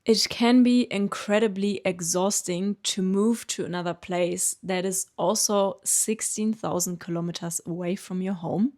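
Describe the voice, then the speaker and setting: A German woman in her late twenties, a native German speaker with a German accent, calmly telling her own story in an earnest, reflective vlog. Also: mid-range voice, unhurried, hardly any pauses. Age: late 20s. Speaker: vlogger. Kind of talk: reflective personal narration.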